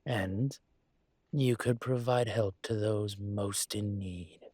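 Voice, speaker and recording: A man voicing a calm, courteous dragon mafia boss in a roleplay audio, speaking in a husky, measured, polite way. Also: on the low side, no echo. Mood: calm, assured. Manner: measured, polite, deliberate with pauses. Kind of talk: scripted roleplay audio monologue to listener.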